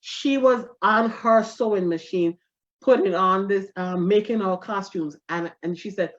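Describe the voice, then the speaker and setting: A middle-aged woman, a stand-up comedian telling stories in a casual interview, animated and quick to laugh. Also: mid-range voice. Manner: animated, laughs often, self-deprecating. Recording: dull lo-fi recording.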